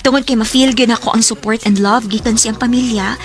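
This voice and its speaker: High-voiced, emotional young wife played by an actress. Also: female voice, fairly even intonation, fairly quick, close to an everyday voice. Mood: sincere narrating. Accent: Cebuano. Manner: emotional, tender. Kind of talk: radio drama acting. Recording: music under the voice.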